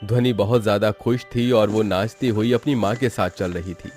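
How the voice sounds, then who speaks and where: A man's voice: fairly low pitch, steady tone, normal speed, darkish tone. A man narrating a scripted story in a dramatic storytelling style. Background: background music.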